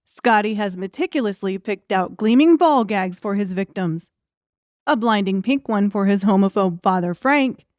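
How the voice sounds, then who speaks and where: A woman's voice: mid-range voice, expressive, moderate pace, no echo, studio quality. A woman reading scripted audiobook narration as the storyteller.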